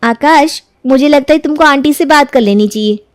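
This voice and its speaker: High-voiced cheeky young woman acted by a woman. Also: normal speed, dry recording, close to an everyday voice. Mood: coaxing. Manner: teasing, bold. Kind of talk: Hindi cartoon moral story dialogue.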